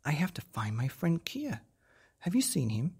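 A man's voice: a little high, wildly swooping pitch, moderate pace, creaky, a little mellow, husky, pitched above the performer's own voice, full character voice. A man voicing an earnest little girl searching for her friend in a storybook reading.

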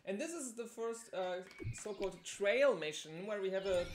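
A woman's voice: medium pitch, lively intonation, normal speed, slight background noise. A young woman whose first language is German, chatting through a live speedrun with many fillers. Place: indoor room at a live event. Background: game music.

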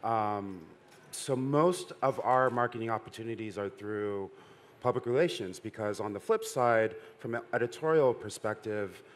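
A middle-aged man on a conference panel, speaking in a measured, businesslike way. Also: lowish voice. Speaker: panelist, VR adult company. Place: stage at a conference hall.

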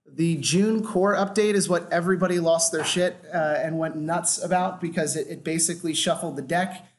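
A man's voice: a little high, fairly even intonation, normal speed. Casual American man giving a talk. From Washington, D.C., USA. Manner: casual, loose, frequent "uh" fillers. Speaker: guest lecturer on growth hacking. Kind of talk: conference talk to a live audience.

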